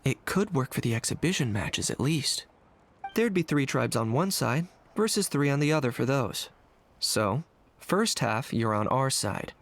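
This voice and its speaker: Casual young game warrior, medium-pitched. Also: male voice, big pitch swings, a little slow, gravelly, exaggerated voice. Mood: relaxed, decisive. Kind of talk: video game voice acting. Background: music.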